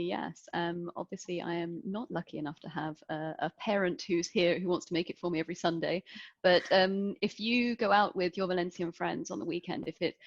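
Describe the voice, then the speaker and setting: A woman with a lowish voice talks casually as a panel guest, trailing through fillers and restarts. The sound is dry and close, with a dull, lo-fi edge from a video call. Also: no room sound. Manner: chatty, many fillers and restarts. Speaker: panelist living in Valencia.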